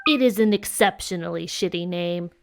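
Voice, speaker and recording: A woman's voice: fairly low pitch, moderate pace, gravelly, background noise. A woman playing a smug, teasing alien troll prankster in an audio drama, mocking and condescending.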